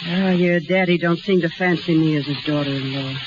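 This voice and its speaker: A woman's voice: fairly low pitch, a little fast, close to an everyday voice. An actress playing a tough, defiant young carnival woman with slangy, brash talk, in 1930s radio drama style. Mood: resigned. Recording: muffled, noisy 1930s radio recording.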